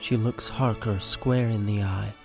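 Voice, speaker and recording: A man's voice: on the low side, steady tone, unhurried, creaky, darkish tone. A man reading scripted stage directions as the narrator of an audio drama. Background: music.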